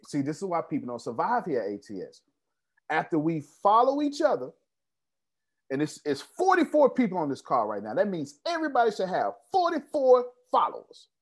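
A man coaching a group on a video call, boastful and preachy, calling on people by name. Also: high-pitched. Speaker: business coach hosting an online meeting. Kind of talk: animated motivational group call.

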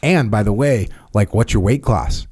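Low, mellow podcast host. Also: male voice, breathy edge. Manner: deliberate, emphatic, repeats his points. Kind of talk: casual chat with sponsor reads.

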